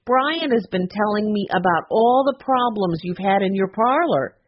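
A woman's voice: mid-range voice, animated, moderate pace, highs cut off, pitched above the performer's own voice, close to an everyday voice. A woman voicing a flamboyant ice cream truck owner in an audiobook, with a gushing, theatrical manner.

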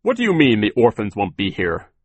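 A man voicing a stern, indignant, officious gentleman in a storybook, speaking in formal, emphatic declarations. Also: fairly low pitch, wildly swooping pitch, takes it slowly, breathy edge, no echo, full character voice.

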